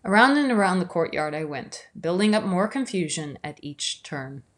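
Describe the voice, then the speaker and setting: Thoughtful woman, low-toned reflective narration. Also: sing-song. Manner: measured, thoughtful, some words drawn out slowly. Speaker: video essayist.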